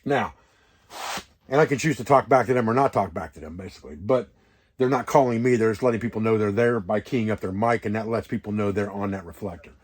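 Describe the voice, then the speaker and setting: A man's voice: lowish voice, fast. A man talking informally as an instructor on an outdoor preparedness vlog, explaining things in a rambling, hands-on way. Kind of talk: casual instructional vlog talk. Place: wall tent at a hunting camp.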